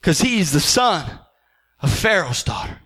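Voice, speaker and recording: A male pastor preaching a sermon, rising into shouts and half-sung phrases and acting out quoted voices. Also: high-pitched, big pitch swings, a little slow, slightly rough.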